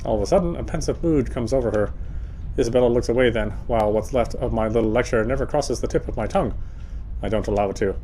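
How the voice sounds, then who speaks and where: A man reading a visual novel's story narration aloud as a gameplay streamer, in a measured way. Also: medium pitch, warm tone, slight background noise, poor audio.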